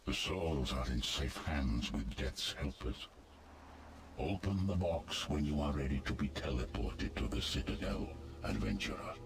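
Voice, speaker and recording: A cavernous, gravelly god of the dead. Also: male voice, animated, a little slow, a little hiss or hum, exaggerated voice. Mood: grave, solemn. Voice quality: very deep, gravelly. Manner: grave, formal, imperious. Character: ancient stern god of the dead, solemn and wrathful. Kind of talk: video game voice acting.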